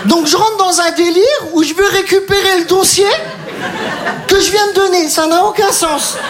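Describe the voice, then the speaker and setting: A woman's voice: very high voice, moderate pace, crowd noise. A woman performing a stand-up routine, telling a story and acting out the dialogue with energy. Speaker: stand-up comedian. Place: comedy stage. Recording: noisy live recording.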